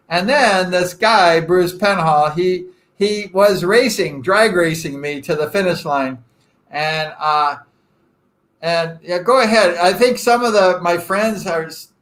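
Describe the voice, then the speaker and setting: A man around sixty from Southern California who hosts a relaxed live chat, telling long wandering stories full of "uh" and "like." He jokes at his own expense in a friendly way. His speaking voice sits a little higher than most men's. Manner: rambling and chatty, self-deprecating joking, frequent fillers. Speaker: live-stream host. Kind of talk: casual live chat with viewers.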